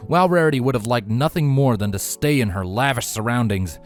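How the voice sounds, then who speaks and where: A man reading a fantasy story as narrator in a scripted, dramatic style with deliberate pauses. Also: medium pitch. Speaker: fan fiction narrator. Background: faint background music.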